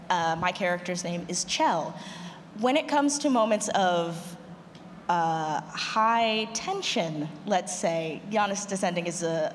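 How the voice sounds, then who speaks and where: Lower-voiced woman panelist in an echoey hall. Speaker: panelist, audio drama creator and actor. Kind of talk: panel talk to an audience. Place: large room at a convention panel. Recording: echoey hall, clear background noise.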